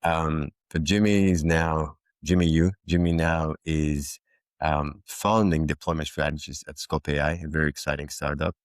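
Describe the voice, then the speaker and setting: A man hosts a podcast in a casual, unpolished way, often circling back and restarting his phrases. His voice sits very low and deep. The sound is dry and close, with no room around it. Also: little pitch movement, no echo. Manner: loose, halting, with frequent restarts. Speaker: podcast host. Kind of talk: casual interview chat.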